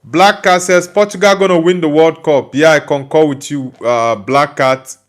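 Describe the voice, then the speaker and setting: A man's voice: fairly high pitch, steady tone, moderate pace. A young man with a Nigerian accent hosting a live football stream, chatting loosely and reading out viewer comments with many fillers and restarts. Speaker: football channel host reading live chat. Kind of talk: casual live-stream chat.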